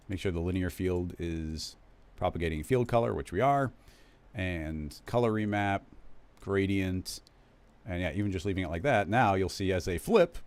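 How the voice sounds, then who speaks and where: A man hosting a live stream, casually talking viewers through a software tutorial, rambling and correcting himself as he goes. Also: deep voice. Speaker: live stream host teaching 3D animation software.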